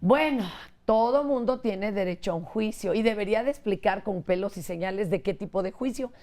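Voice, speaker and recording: A woman hosting a TV talk show, chatty and quick with quips and interjections. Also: mid-range voice, mellow. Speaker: host. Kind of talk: casual chat. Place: television studio.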